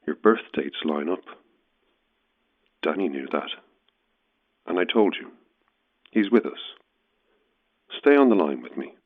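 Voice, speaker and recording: An actor playing an eerie, matter-of-fact male caller with a husky voice over a telephone filter in a horror audio drama. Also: low voice, expressive, measured pace, long pauses, exaggerated voice. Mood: cold, insistent. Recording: narrow, tinny phone line.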